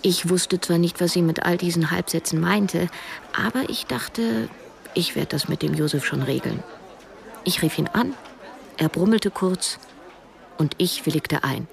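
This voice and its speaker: A woman's voice: lowish voice, a little slow, vocal fry, a crowd in the background. An actress in her early thirties playing a broke, self-doubting young woman, narrating a radio drama in a reflective, literary way. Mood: reflective, wry. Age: early 30s. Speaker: narrator and lead character. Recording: music behind the voice.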